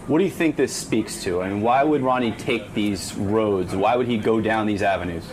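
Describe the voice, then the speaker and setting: A man's voice: on the low side, moderate pace, noisy background. A man conducting an interview with dry, persistent, probing questions.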